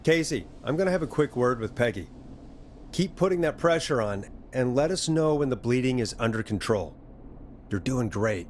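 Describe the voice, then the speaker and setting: An actor playing a steady late-night radio DJ in a video game, a man who is reassuring but dryly exasperated. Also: medium pitch, normal speed, slight background noise, lightly acted. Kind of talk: video game voice acting, conversational.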